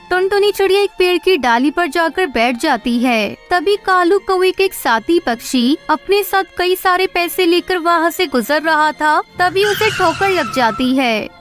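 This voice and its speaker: A woman narrator reading a scripted story for children. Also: very high-pitched.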